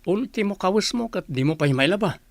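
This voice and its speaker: Disillusioned young Ilocano suitor, played by a man: regretful yet frank, gravelly edge, radio drama, close dry sound. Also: high voice, animated, fairly quick, no echo. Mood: blunt, reproachful. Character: disillusioned young village boyfriend, blunt and regretful.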